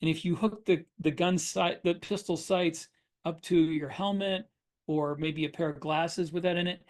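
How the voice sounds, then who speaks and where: A man with a fairly high voice talks casually as a podcast host. He explains his craft in an informal, conversational style. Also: steady tone, moderate pace, a little mellow. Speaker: podcast host and fiction writer. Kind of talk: casual shop talk.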